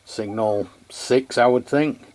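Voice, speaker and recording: A man's voice: medium pitch, slow pace, darkish tone. A man chatting casually as he hosts a hands-on radio equipment test. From England. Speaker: radio channel host testing equipment.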